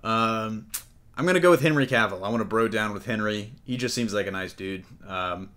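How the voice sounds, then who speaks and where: Male podcast host, lowish pitch, casual and jokey panel chat, unafraid to swear. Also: a little fast, pushed and bright. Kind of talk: casual comic-book movie panel chat.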